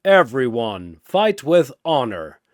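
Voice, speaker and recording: A man's voice: medium pitch, very animated, slow, no echo, studio quality. A man voicing a boastful, honorable muscle-bound superhero in an action story, proclaiming pompously. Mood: noble, rousing.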